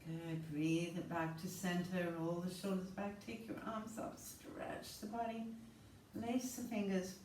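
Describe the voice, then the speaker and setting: A woman instructor guiding a yoga class with slow, soothing, calm cues. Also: fairly low pitch. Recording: roomy, distant mic with faint noise.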